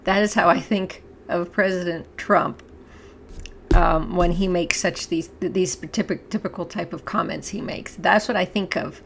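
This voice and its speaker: A woman, hosting her own channel, talking to camera in a chatty, halting way with a hoarse voice and frequent throat-clearing. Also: on the low side, steady tone, a little hiss or hum. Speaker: channel host. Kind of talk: casual talk to camera.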